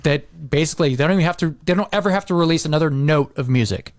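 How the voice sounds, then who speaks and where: Middle-aged American male podcaster, slightly high voice, dry close sound, loose repetitive delivery. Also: fast, no echo. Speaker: podcast host. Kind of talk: casual podcast chat.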